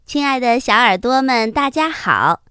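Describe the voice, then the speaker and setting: Lady reading a kids fairy tale, highish voice, slow and super expressive, soft music behind. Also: smooth, no room sound, studio quality. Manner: expressive, deliberate storytelling. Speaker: children's storyteller, host. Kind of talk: scripted fairy tale narration for children.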